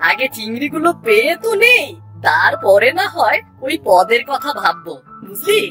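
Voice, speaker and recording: A woman's voice: fairly high pitch, sing-song, normal speed, a bit bright, soft background music. A woman voicing a brave, practical village boy, a bossy and reassuring older brother in a children's cartoon.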